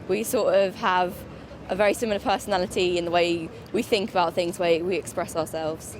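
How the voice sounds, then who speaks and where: A woman's voice: lowish voice, steady tone, fairly quick. A woman giving casual answers to an interviewer outdoors in a city. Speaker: street interviewee. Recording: clear outdoor urban traffic noise.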